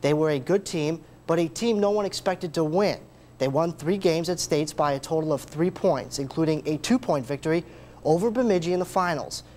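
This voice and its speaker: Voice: medium pitch, moderate pace, darkish tone, slight background noise. A news anchor reading a scripted story in a broadcast delivery.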